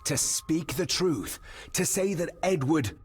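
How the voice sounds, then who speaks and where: An actor playing an earnest, indignant young gentleman in a video game, intense and questioning, with a very breathy voice. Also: on the high side, expressive, slow, soft background music, full character voice. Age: young adult. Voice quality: very breathy, husky. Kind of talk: dramatic video game acting.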